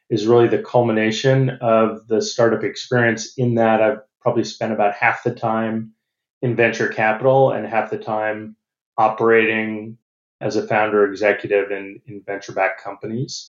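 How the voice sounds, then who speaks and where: A middle-aged man with an American accent explains things in a measured, businesslike way, often restarting his phrases. His voice sits on the low side. The recording is roomy and a little dull. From United States. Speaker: venture capital partner. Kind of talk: interview answers.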